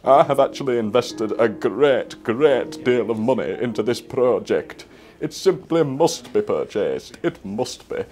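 A young man playing an awkward, solemn company spokesman in a mockumentary, with halting, overly formal delivery. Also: mid-range voice, animated, a little mellow, soft background music, natural voice. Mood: earnest, pleading. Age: young adult. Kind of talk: mock promotional interview. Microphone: lapel mic.

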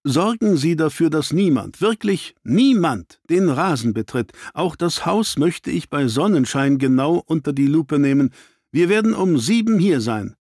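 Audiobook detective, read by a man: male, stern gentleman, terse, commanding, raised pitch, dry close sound. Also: very animated, unhurried, no room sound, exaggerated voice.

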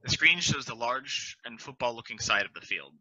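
A man's voice: medium pitch, lively intonation, normal speed, sharp tone, no room sound. A man plainly reading stage directions aloud at a table read over a video call headset. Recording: dull lo-fi call audio.

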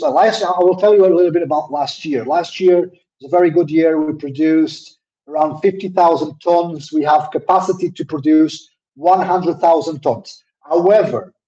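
A man with a somewhat high voice but a warm, mellow tone fields questions at a webinar. He hesitates, restarts and repeats words as he works his way through each answer. The call audio is dull and lo-fi. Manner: says his own voice is a little deep. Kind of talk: live webinar Q&A. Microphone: laptop mic on a video call.

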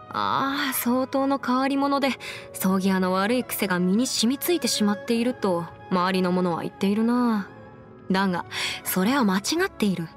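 Casting: actress for proud, playful young woman legal expert in an anime game; raised pitch, articulate, self-assured, music underneath. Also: lightly acted. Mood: matter-of-fact. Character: confident, clever young female legal advisor, proud and playful. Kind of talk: Japanese anime game character voice lines.

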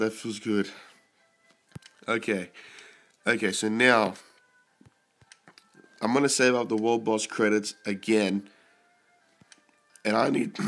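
Slow-talking sick young man, gameplay commentary. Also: lowish voice. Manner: excited, swears at big pulls. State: sick, throat-clearing. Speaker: mobile game YouTuber.

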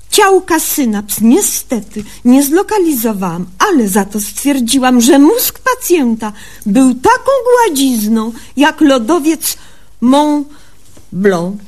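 Naive young village doctor for radio comedy: high-pitched, unhurried, self-assured, theatrically learned, folksy grammar. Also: female voice, a little mellow, slight background noise, full character voice. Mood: mock-solemn narrating. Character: naive, self-assured young rural woman doctor recounting her cases. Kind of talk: comic radio sketch acting and narration.

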